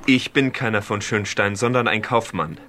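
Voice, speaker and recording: Soldier friend in merchant guise, fairly low pitch, formal, archaic wording, radio drama. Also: male voice, moderate pace, pushed and bright, a little hiss or hum. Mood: guarded. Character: loyal soldier envoy disguised as a merchant. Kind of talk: German radio drama acting in archaic language.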